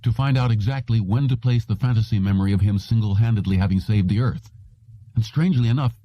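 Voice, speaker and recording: A man's voice: fairly low pitch, quick pace, pitched above the performer's own voice, lightly acted. A man voicing an apologetic, worried male technician in an audiobook. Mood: shaken. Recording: roomy, dull lo-fi audio.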